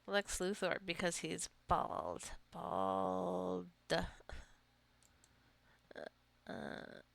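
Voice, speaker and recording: Gravelly low-voiced woman chatting through gameplay. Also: sing-song, extremely slow, no room sound. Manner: rambling, scolds her game characters jokingly. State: tired, wants coffee. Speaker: gameplay streamer. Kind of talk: casual gameplay commentary.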